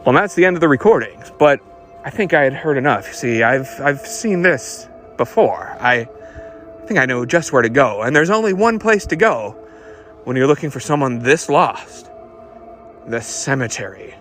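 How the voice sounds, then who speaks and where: A man playing a world-weary hot rod detective, narrating a noir monologue in a dramatic, deliberate, knowing manner. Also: mid-range voice, big pitch swings, fairly bright tone, soft background music. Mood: knowing, grim.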